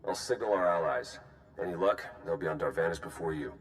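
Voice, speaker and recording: A man's voice: low voice, a little fast, soft background music, natural voice, poor audio. A man playing a dry, wry spy arranging allies over a comm in a game cutscene.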